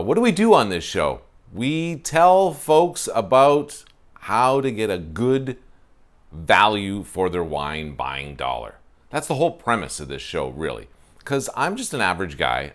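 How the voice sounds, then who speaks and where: A man, hosting a wine show in a casual, self-deprecating way and talking to camera on a porch through a lapel mic. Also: low-pitched. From Ontario, Canada. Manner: chatty, self-deprecating, frequent fillers. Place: covered porch, outdoors.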